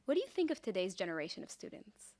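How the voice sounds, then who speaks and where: A woman conducts a campus radio interview. She keeps her questions short and her delivery measured. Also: mid-range voice, sing-song, a little mellow.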